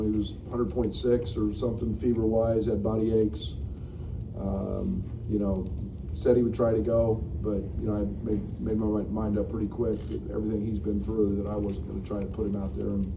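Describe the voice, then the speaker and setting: A middle-aged man, a basketball coach, answering questions at a postgame press conference in a frank, halting way with many fillers. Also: on the low side, flat delivery, creaky, far from the mic. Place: press room at a table. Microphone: table mic, recorded from the room. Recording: echoey room, picked up from afar, noisy.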